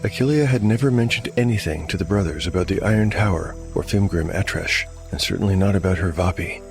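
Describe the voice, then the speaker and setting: A man's voice: sits low, fast talker, gravelly. A man narrating a solo tabletop fantasy game as game master, in a dramatic storytelling style. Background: prominent music.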